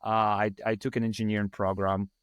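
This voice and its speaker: A man's voice: lowish voice, little pitch movement, fairly quick, clear, resonant tone, no room sound, dull audio. A man in his thirties from Ukraine with a Ukrainian accent, a podcast guest telling his story in a rambling, self-deprecating way. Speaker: podcast guest, startup founder. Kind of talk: casual storytelling in an interview.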